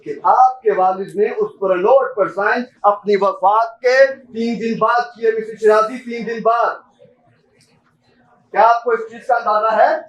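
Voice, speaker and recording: A man's voice: high-pitched, steady tone, a little slow, muffled recording. Young guy playing pushy but polite blackmailing bank clerk, pakistani accent, stage play, mic far away. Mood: coldly menacing. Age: young adult. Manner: formal, insistent, repeatedly addressing the listener politely. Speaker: student stage actor. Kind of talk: amateur stage drama acting. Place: seminar hall stage. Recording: distant mic in a hall.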